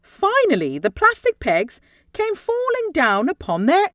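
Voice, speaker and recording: A woman with a high voice reads a rhyming children's story aloud. Her pitch swings widely as she leans into dramatic emphasis, phrasing each line deliberately. The sound is close and dry. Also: wildly swooping pitch, fairly bright tone, no room sound. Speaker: storybook reader.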